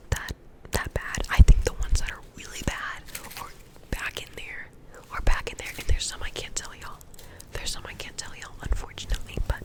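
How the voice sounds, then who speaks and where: A young woman getting over a cold, softly telling rambling, self-deprecating stories from her school days in an ASMR whisper. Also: rough recording. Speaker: vlogger.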